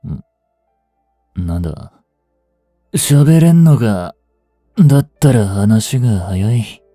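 Guy acting as a cocky gruff mafia boss in an audio drama, really dark mellow voice, slow drawl, secretly tender. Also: lowish voice, animated, no echo, clean studio sound. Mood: teasing. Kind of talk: intimate Japanese-style dramatic voice acting aimed at women listeners. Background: music.

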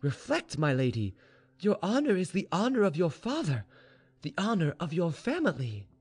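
Man as a high-voiced, shrewd young maidservant. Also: wildly swooping pitch, moderate pace, breathy edge, heavily acted. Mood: urgent persuasion. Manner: insistent, reasoning persuasion. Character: shrewd, urgent young Russian maidservant counselling her mistress. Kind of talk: storybook dialogue reading.

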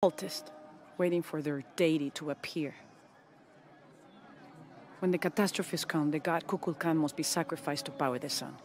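A middle-aged woman playing a dignified, resolute rebel leader in a video game, speaking with grave, measured authority. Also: sits low, fairly even intonation, darkish tone, a little hiss or hum, lightly acted. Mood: grave, explaining. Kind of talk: dramatic video game acting.

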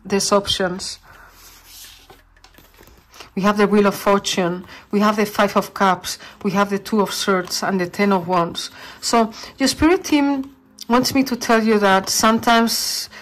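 Woman giving a tarot reading. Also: mid-range voice. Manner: warm, reassuring, searching for words. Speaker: tarot reader.

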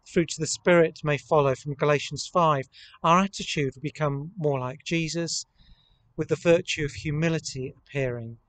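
Man in his thirties, slightly high voice, vicar's sermon, deliberate phrasing, recorded outdoors. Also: fairly bright tone. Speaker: vicar leading worship. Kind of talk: sermon, addressed to camera. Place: outdoors in an open country churchyard.

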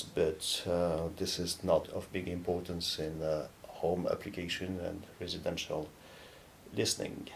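A man in his fifties giving a measured, halting explanatory product talk to camera, pausing between phrases. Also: gravelly, a little mellow. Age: 50s. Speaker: loudspeaker company presenter. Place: dark indoor studio or demo room, seated. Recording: low rumbling background noise.

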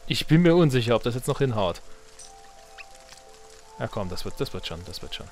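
A man's voice: mid-range voice, sing-song, normal speed, a little mellow. A man giving casual let's play commentary, muttering and thinking aloud to himself. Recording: game music behind the voice. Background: video game music.

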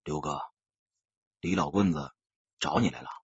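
Man doing a hushed, low, cold gangster. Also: normal speed, creaky, husky, no echo, muffled recording, full character voice. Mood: quiet, probing. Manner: terse, understated. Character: quiet, coldly restrained young male ex-soldier gangster.

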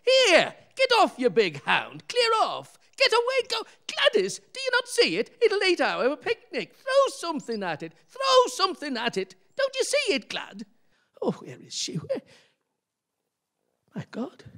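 A man's voice: extremely high pitch, fairly bright tone, exaggerated voice. A man playing an impatient, frightened husband in a radio play, muttering and calling out. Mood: horrified. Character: husband climbing a tower, impatient, increasingly frightened.

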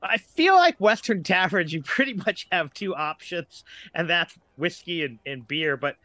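A man's voice: high voice, animated, measured pace, pushed and bright, dry recording. A man talking casually as a podcast guest, informal, with trailing asides. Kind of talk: casual chat.

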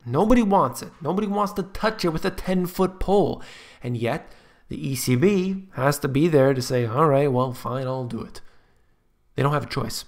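A man's voice: on the high side, normal speed. Guy doing a voice-over on charts, pushy and scornful, keeps repeating himself. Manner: emphatic, scornful, repetitive. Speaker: finance commentator. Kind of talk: screen-share commentary on charts. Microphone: voice-over on screen recording.

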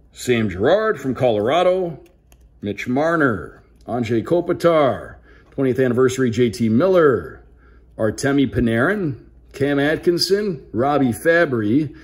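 A man doing casual unboxing commentary with an upbeat, announcer-like delivery, calling out names like a sports broadcaster. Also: mid-range voice. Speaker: hockey play-by-play broadcaster hosting a card unboxing.

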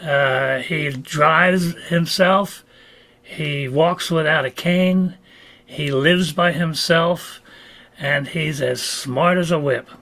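A man in his late seventies tells a brief funny story over a video call from his home. His voice is a touch high, and he stretches out key phrases to build the punchline. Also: bright, forceful tone. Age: 70s. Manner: storyteller, slows for effect. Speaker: club member telling a story. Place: home room.

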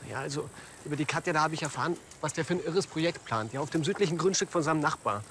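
Fast-talking, earnest bird conservationist. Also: male voice, on the high side, fairly bright tone, slight background noise. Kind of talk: TV crime comedy acting.

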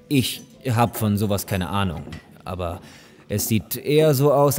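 A man playing a polite, matter-of-fact lawyer in a German audio drama, measured and a little hesitant. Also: lowish voice, darkish tone, lightly acted. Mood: dismissive.

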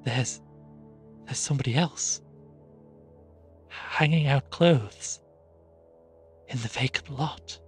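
A man's voice: medium pitch, steady tone, moderate pace, few pauses, soft background music, natural voice. A man voicing a timid, terrified maid in a storybook reading, faint, hesitant, gasping and stammering.

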